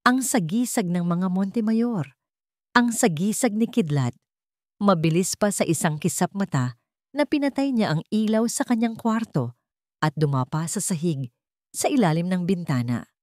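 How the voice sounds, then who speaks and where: A woman narrating a scripted audio drama in a measured, suspenseful storytelling manner. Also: mid-range voice, animated, mellow, no echo, studio quality.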